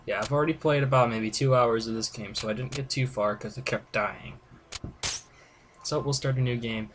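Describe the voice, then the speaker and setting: A man's voice: mid-range voice, normal speed, a bit bright, slight background noise. A man giving casual, rambling gaming commentary, hesitant and thinking aloud as he hosts a playthrough. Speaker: host of a game playthrough video. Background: game music.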